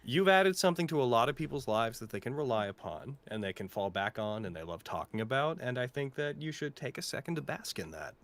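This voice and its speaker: A man's voice: mid-range voice, very animated, a little fast, few pauses, a bit bright. A man chatting casually and sincerely on a live Q&A, full of heartfelt praise. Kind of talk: casual, earnest chat and reading aloud.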